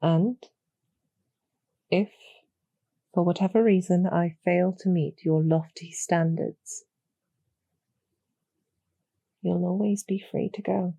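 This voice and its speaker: Woman voicing a husky, slow-spoken demon general. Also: steady tone, no echo, heavily acted. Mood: solemn, coaxing. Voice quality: soft, husky, low with creaky edge. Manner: measured, deliberate, gently formal persuasion. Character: calm, courteous female demon general, protective and persuasive. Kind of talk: slow, soothing scripted roleplay audio for sleep.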